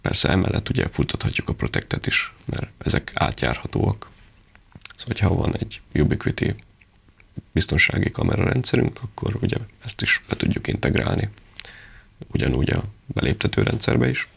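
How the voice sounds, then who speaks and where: A man with a low-pitched voice presents products off the cuff. His delivery is heavy with vocal fry and quite husky, and he explains things in an informal, practical manner. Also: very animated, a bit bright.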